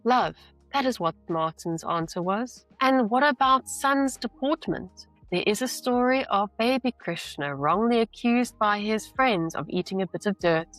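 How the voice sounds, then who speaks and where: A woman teacher reading a novel aloud with deliberate, emphatic phrasing and brief explanations. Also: medium pitch, animated, fairly bright tone, no echo, faint music behind, poor audio.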